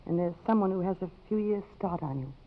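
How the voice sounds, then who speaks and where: An American woman playing a brisk, commanding congresswoman with a crisp, authoritative delivery in a 1950s radio drama. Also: fairly low pitch, natural voice. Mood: tender, composed. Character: brisk, commanding congresswoman, romantic yet self-possessed. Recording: muffled old 1950s radio broadcast.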